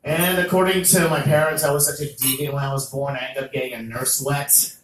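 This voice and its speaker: A young man doing a stand-up comedy set as the host of an open mic, animated and jokey, with loud bursts on the punchlines. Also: medium pitch, brisk, reverberant, a little hiss or hum, rough recording. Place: small comedy venue.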